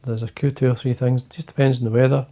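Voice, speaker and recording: A man with a Scottish accent, talking quietly and casually to camera from his fly-tying desk, rambling with fillers and restarts. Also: mid-range voice, level tone, fast. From Scotland. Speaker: fly-fishing video host.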